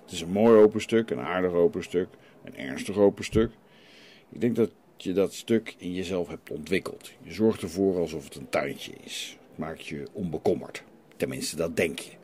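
Deep, stern renegade priest played by a man. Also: mellow. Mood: admonishing. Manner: challenging, preachy, rhetorical questioning. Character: stern, defiant renegade priest hearing confession. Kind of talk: radio play dialogue. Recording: light background noise.

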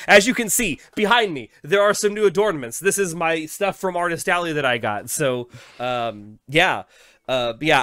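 A man's voice: medium pitch, fairly bright tone, smooth, dry recording, studio quality. A man hosting an anime podcast from home over a video call, chatty and laughing often, while sick with a cold. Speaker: podcast host. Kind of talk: casual podcast chat. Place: home room with anime posters. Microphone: studio mic on boom arm, headphones, video call.

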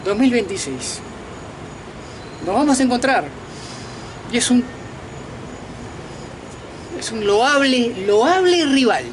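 Animated man in his fifties, night street noise. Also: very high voice, takes it slowly, long pauses. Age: 50s. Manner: animated, talks to viewers directly with slangy asides. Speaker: vlogger and self-declared political candidate. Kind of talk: casual rambling monologue to camera. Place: outdoors at night on a balcony over a lit city.

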